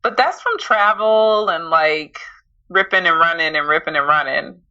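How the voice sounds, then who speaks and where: A woman's voice: lowish voice, lively intonation, moderate pace, bright, forceful tone, lo-fi sound. A woman co-hosting a podcast in casual chat, playful and teasing with an upbeat, promoting energy. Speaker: podcast co-host.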